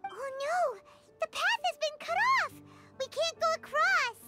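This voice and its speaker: A woman voicing a tiny, excitable, childlike fairy companion in a video game, squeaky and quick to exclaim. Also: faint music behind, full character voice. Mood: alarmed. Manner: exclaims, refers to self in third person.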